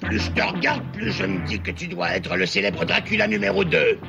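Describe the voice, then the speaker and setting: A man voicing a sly, swaggering, gravelly animal troublemaker who flatters bullies, in a cartoon dub. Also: high-pitched, brisk, dull audio, over loud music, full character voice. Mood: fawning.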